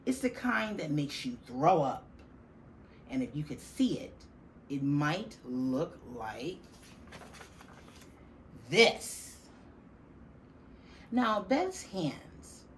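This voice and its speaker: A middle-aged woman reads a children's story with emphatic, dramatic stresses. Her voice sits low and swings widely in pitch as she takes her time over each phrase. She is recorded in a classroom. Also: poor audio. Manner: deliberate, emphatic, dramatic stresses on key words. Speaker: teacher reading a picture book aloud to children. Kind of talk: expressive children's storybook reading. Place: small classroom, indoors. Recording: faint room noise behind the voice.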